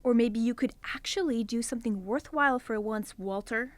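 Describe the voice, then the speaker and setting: A woman's voice: on the high side, moderate pace, lightly acted. A woman playing a studious, easily annoyed young geologist in a student audio drama, curt and biting.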